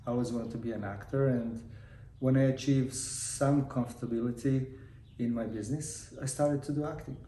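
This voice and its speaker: A man's voice: mid-range voice, fairly even intonation, normal speed, mellow. A man, a guest chatting casually and rambling a little as he answers. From Croatia. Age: 50s. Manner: easygoing, rambling with restarts. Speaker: guest, film producer and actor. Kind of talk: casual interview talk. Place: room in Los Angeles. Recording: echoey room, faint noise.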